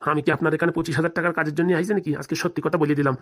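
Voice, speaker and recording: A woman voicing a smooth-talking, scheming male fixer, boastful and persuasive, with a rural Bengali accent in a comedy cartoon. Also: low voice, little pitch movement, racing.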